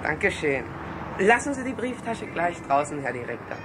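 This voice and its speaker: A man's voice: on the high side, sing-song, normal speed, background noise. An actor playing a cheeky, sly teenage boy blackmailer, smug and insinuating, in a 1960s German radio play.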